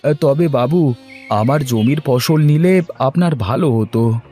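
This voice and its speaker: A man's voice: medium pitch, takes it slowly, mellow, soft background music, close to an everyday voice. A man voicing an earnest, put-upon village farmer and husband in a cartoon story, plain-spoken and complaining. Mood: polite, pleading. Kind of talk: Bengali cartoon story voice acting.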